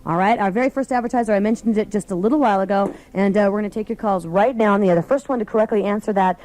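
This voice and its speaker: A woman's voice: medium pitch, rapid-fire, a little mellow. An American woman hosting a live call-in radio show, chatting informally from a studio. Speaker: radio host.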